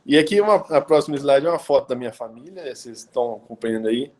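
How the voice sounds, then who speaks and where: A man, talking as a pastor on a live stream. His pitch sits in an ordinary middle range for a man. He keeps it relaxed and conversational, leaning on hesitations and tag questions. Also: fast. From Brazil, interior of São Paulo state. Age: 30s. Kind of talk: casual live-stream talk.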